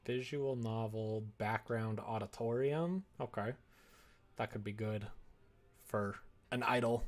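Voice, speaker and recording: A man's voice: lowish voice, takes it slowly. A man livestreaming casually, thinking aloud and muttering to himself with playful little asides as he browses. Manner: muttering to self, playful asides and sound effects. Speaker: livestreamer making a visual novel.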